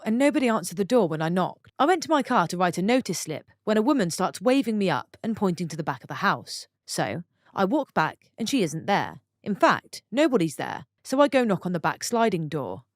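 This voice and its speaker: A woman reading online stories aloud for her channel, stopping for chatty, expressive asides to her viewers. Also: medium pitch, a little fast, dry recording, studio quality. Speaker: story channel narrator.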